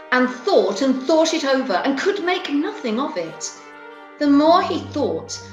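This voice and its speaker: Woman narrator reading a story, highish voice, roomy echo, music behind. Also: muffled recording.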